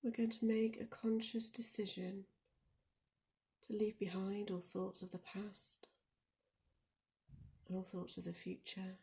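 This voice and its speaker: A woman leading a guided meditation in a soft, soothing, often near-whispered voice, speaking deliberately with gentle pauses. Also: mid-range voice, level tone. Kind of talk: guided body scan meditation.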